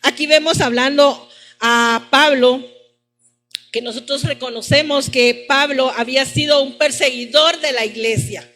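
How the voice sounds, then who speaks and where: A woman's voice: high voice, unhurried, a bit bright. A woman teaches from scripture at a church service. She speaks didactically to the congregation, repeating phrases to drive points home. Music plays behind her. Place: church service, live-streamed.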